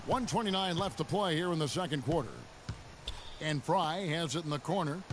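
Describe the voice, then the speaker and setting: A man voicing a play-by-play announcer in a basketball video game, calling the action briskly. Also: on the high side, noisy background, lightly acted. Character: male play-by-play sportscaster, brisk. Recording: game audio with loud music behind.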